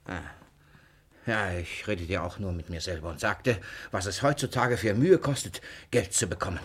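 An actor playing a paranoid, irascible old miser in a German radio comedy, raspy, blustering and suspicious, often muttering to himself. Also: male voice, deep voice, normal speed. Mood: nervous, probing. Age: older. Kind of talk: German stage-comedy radio play acting.

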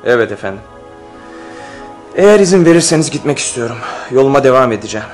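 A man's voice: medium pitch, expressive, fast, soft background music, lightly acted. A man acting in radio theatre as a polite messenger who reports news in a formal, respectful manner. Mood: formal, earnest. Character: polite, deferential male Caucasian messenger bearing news.

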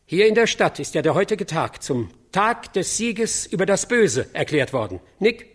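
An actor playing a solemn American field reporter in a radio drama, husky and halting as he describes the scene. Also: sits high, sing-song, heavily acted. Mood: earnest. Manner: halting, solemn, pausing between phrases. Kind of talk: acted on-location news report in a radio drama.

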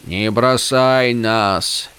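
A man's voice: a little high, very animated, slow, pitched above the performer's own voice, heavily acted. A man voicing a desperate, defiant warrior prince in a fantasy audiobook, crying out to a god in impassioned demands and pleas. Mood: pleading. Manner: shouting, pleading, impassioned.

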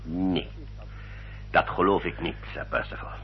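Deep-voiced, deferential family solicitor. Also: male voice, measured pace. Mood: agreeable, deferential. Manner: brief, formal, agreeable. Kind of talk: old radio drama acting. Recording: dull old radio recording, faint hum.

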